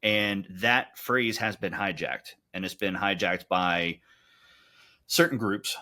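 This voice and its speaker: A man hosting a casual podcast, building his points haltingly with repeated words. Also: low voice, pushed and bright. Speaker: podcast host.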